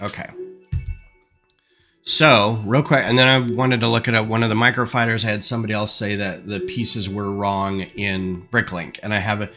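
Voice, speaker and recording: A man's voice: fairly low pitch, sharp tone. A man with a stuffed-up nose chatting casually on a livestream at his home desk, thinking aloud with lots of ums. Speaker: LEGO designer streaming. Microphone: desk microphone.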